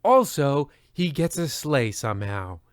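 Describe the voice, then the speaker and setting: A man's voice: mid-range voice, sing-song, a little slow, smooth, dry recording, studio quality. A man playing a theatrical, mock-grave merchant who hosts an old-time horror radio parody. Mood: mock-grave, storytelling. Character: pompous theatrical merchant storyteller, horror-show host. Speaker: host narrator.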